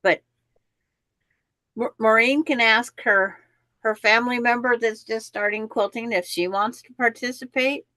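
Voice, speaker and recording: A woman's voice: on the high side, fairly even intonation, measured pace, sharp tone, no room sound, poor audio. A woman hosting a quilting show, chatting in a rambling way and explaining how the block pieces fit together. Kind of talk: casual live chat and craft instruction.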